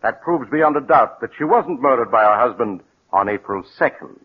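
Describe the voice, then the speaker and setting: An actor playing a shrewd, relentless police doctor in a 1940s radio drama, questioning with deliberate, probing pauses. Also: male voice, mid-range voice, animated, husky. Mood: triumphant accusation. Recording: dull old radio broadcast.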